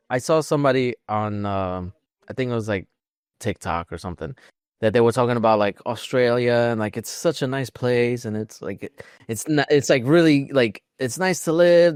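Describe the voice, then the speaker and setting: A man's voice: mid-range voice, moderate pace, clear, resonant tone, dry recording, clean studio sound. A man co-hosting a podcast, chatting informally in a rambling way with lots of "like". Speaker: podcast co-host. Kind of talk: casual chat.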